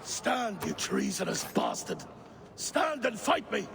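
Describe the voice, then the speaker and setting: An actor playing an old, sick, paranoid Viking king who shouts accusations with furious contempt in a game cutscene. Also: very high voice, sing-song, slow, vocal fry, noisy background, heavily acted. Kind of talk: video game cutscene acting.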